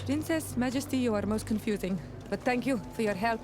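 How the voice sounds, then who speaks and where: Female actor as forthright young native emissary, formal, direct, fairly high pitch, video game drama, background music. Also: fairly quick, natural voice. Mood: puzzled, formal. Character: proud, forthright young native island chief's daughter. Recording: clear music behind the voice.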